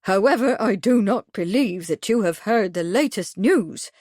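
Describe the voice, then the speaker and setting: A woman voicing a bustling, shrill, strident committee lady who talks in a brisk, impatient way. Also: expressive, a little mellow, dry recording, studio quality.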